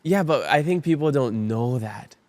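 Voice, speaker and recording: A man's voice: medium pitch, lively intonation, moderate pace, a little mellow. A man from Hawaii hosting a podcast, talking casually and earnestly, thinking aloud with many restarts. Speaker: podcast host. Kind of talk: casual conversation.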